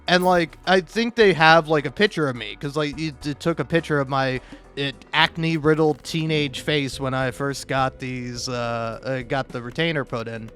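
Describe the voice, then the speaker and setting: A young man telling casual, self-deprecating stories in an animated, rambling monologue, recorded on a modest home mic. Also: mid-range voice, moderate pace. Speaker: video creator. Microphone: cheap mic, home recording. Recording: amateur mic with occasional plosive pops. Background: music.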